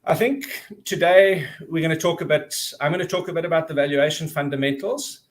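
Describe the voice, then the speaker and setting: A man's voice: fairly high pitch, fairly quick. A man in his forties with a South African accent, presenting in a friendly, chatty way on a video call webinar. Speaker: guest presenter.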